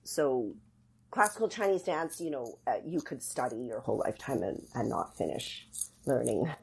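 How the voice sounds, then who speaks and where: A woman's voice: rumbling low, animated, moderate pace, gravelly, slightly rough. A middle-aged woman explaining things conversationally as an interview guest, thoughtful and searching for her words. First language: English. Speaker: podcast guest, dance academy artistic director.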